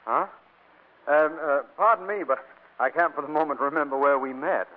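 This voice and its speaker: An actor playing a boastful, amorous, easily bewildered bachelor in a 1940s radio comedy. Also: male voice, fairly high pitch, normal speed, lightly acted. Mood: bewildered, amused. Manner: stammering, eager, suggestive. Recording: tinny old radio recording.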